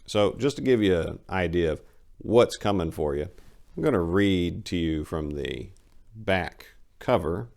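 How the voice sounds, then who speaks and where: A man's voice: low-pitched, expressive, unhurried, vocal fry, darkish tone. A man hosting and narrating his own short stories in a dramatic, scripted reading style. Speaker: host, author and narrator.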